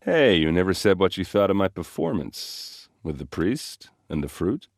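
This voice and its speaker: Gruff warrior, video game character, hard-drinking, friendly, boastful, wry, dry studio sound. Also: male voice, deep voice, big pitch swings, normal speed, dry recording, exaggerated voice. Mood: casual, wry. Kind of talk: game dialogue and combat barks.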